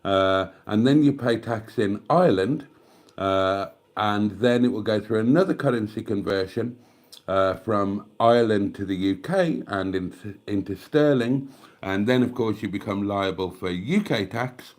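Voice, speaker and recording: A man's voice: fairly low pitch, unhurried. Chatty older British man with a cold. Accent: British English. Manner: chatty and self-mocking, calls viewers darlings. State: congested with a cold, coughing. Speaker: YouTube livestream host. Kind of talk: casual rambling livestream chat. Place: at home, near the fridge. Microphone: desk microphone.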